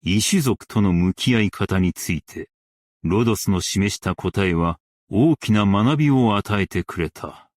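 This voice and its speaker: A man playing a principled, stern yet merciful scholar-strategist in a game, speaking in a formal, archaic and measured way. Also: on the low side, expressive, no room sound, clean studio sound. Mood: composed, formal. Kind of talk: Japanese game character voice lines.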